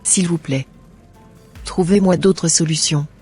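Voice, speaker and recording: Man voicing a husky, anxious, pleading wife. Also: fairly high pitch, fairly even intonation, takes it slowly, a little mellow, faint music behind, heavily acted. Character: troubled, pleading young wife, anxious and submissive.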